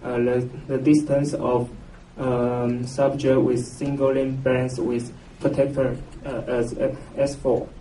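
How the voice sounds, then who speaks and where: Male academic presenter, Taiwanese accent, halting and unsure, full of pauses; mellow tone, picked up from far off. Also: medium pitch, level tone. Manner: hesitant, halting, with very frequent filled pauses. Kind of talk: scientific conference presentation in halting English. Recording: roomy, noisy, distant mic, muffled echo.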